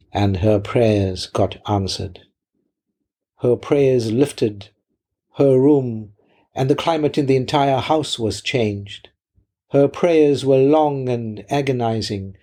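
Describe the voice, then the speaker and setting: A man preaches as a pastor in a fairly low, husky voice. He takes his time, setting out short, measured phrases at a very unhurried pace. The delivery is that of a deliberate sermon. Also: dark tone.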